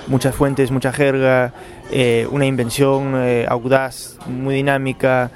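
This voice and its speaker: A novelist, a man, answering interview questions thoughtfully, with frequent pauses and repeated words. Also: mid-range voice, darkish tone. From Peru.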